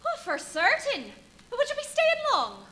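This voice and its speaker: A woman's voice: extremely high pitch, wildly swooping pitch, a little slow, bright, forceful tone, exaggerated voice. A woman playing a chatty, bossy village innkeeper's wife in a live radio play, fussy, gossipy and full of exclamations. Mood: eager, hospitable. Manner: gossipy, exclamatory, deferential to guests, scolding the maid.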